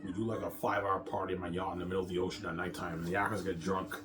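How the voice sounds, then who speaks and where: A man's voice: sits low, flat delivery, rapid-fire, over music. A man in a casual, rambling monologue, boastful and repetitive as he circles back over his phrases. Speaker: self-styled film maker talking about his movie projects.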